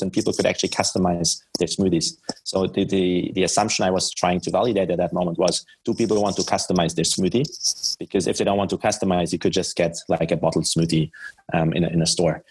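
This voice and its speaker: A man's voice: deep voice, breathy edge. A man from Germany with a German accent, answering conversationally as a guest in a podcast interview. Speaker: podcast guest, startup founder.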